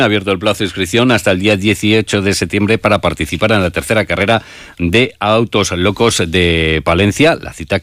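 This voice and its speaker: A man's voice: low-pitched, fairly bright tone, no room sound, clean studio sound. A man with a Castilian Spanish accent presenting scripted local news on the radio.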